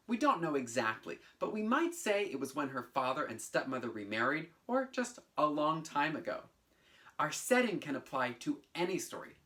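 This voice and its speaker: Animated, theatrical male children's teacher. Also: fairly high pitch. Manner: animated, theatrical, clearly enunciated, sing-song chants. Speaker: teaching artist and presenter. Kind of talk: children's lesson, storytelling and instruction. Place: bedroom at home, indoors.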